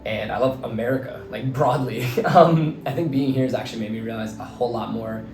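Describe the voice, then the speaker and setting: A young man in his twenties from New Jersey, his voice slightly hoarse, talking through his thoughts in a casual, rambling way full of fillers. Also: medium pitch, a little fast, a little mellow. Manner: rambling, filler-heavy, earnest. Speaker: vlogger and teacher. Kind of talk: casual reflective monologue. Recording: roomy echo, faint background music.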